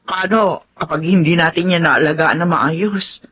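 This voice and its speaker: An actress playing an anxious, hesitant wife in a Filipino radio drama. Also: female voice, on the low side, unhurried, a bit bright, slight background noise. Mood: worried, doubtful. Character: anxious, hesitant wife unsure about raising a child.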